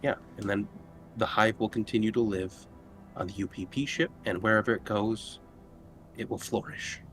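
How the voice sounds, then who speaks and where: Low-voiced man playing a tabletop game. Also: fairly even intonation, moderate pace, a bit bright, faint music behind. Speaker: tabletop roleplaying player. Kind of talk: in-character roleplay mixed with casual game talk.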